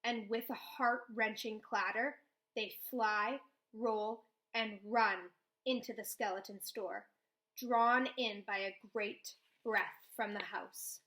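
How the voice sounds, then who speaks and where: A woman reading a children's novel aloud, with expressive first-person storytelling. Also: on the high side, takes it slowly, poor audio. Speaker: read-aloud host.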